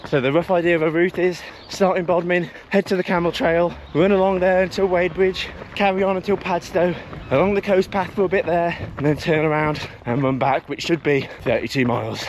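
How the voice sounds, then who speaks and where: A man's voice: sits high, fairly even intonation, a little fast. Casual man in his thirties, noisy recording. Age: early 30s. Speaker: vlogger, amateur long-distance runner. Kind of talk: casual running vlog talk and narration to camera. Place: outdoors on a coastal trail for much of it. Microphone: action camera mic. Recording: outdoor wind and ambient noise.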